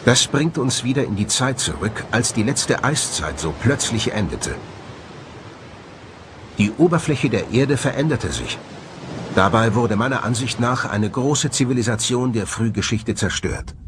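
A man's voice: mid-range voice, expressive, measured pace, noisy background. A man presenting a documentary in scripted narration.

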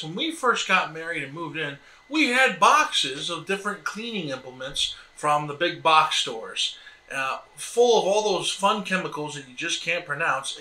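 A man's voice: on the high side, pushed and bright. An American man in his thirties co-hosting a homesteading vlog, talking casually and in a wordy, meandering way. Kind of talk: casual explanatory chat to camera. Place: indoors at a table in a small sunlit room by windows. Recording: echoey room.

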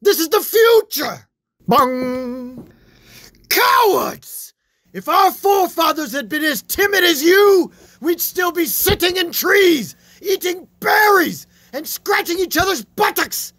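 A man voicing a pompous, zealous mad Victorian scientist who rants and shouts at his critics, in an amateur dramatic reading. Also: very high-pitched, expressive, takes it slowly, no echo, pitched above the performer's own voice, heavily acted. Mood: ranting.

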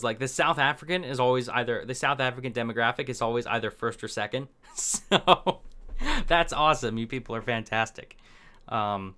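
A man's voice: mid-range voice, pushed and bright, smooth. A young man riffing unscripted on camera in a small room at his desk, rambling with fillers and restarts. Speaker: political commentary vlogger. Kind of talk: unscripted casual commentary.

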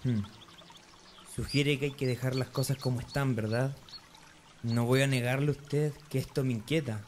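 A man's voice: mid-range voice, fairly even intonation, moderate pace, a little mellow, a little hiss or hum, lightly acted. A man acting as a zealous, dutiful young Pharisee, speaking earnestly and resolutely in an amateur radio drama. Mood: troubled, resolute.

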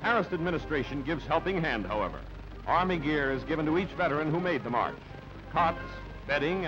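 A man reading scripted newsreel narration in a clipped, punchy, dramatic way on an old soundtrack. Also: fairly high pitch. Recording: dull band-limited old newsreel soundtrack. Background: music.

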